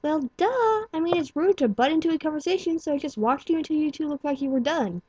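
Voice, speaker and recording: A woman voicing a bubbly, chatty pink female pony with a high, bouncy, excitable voice. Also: quick pace, dull audio, noisy background. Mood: chirpy.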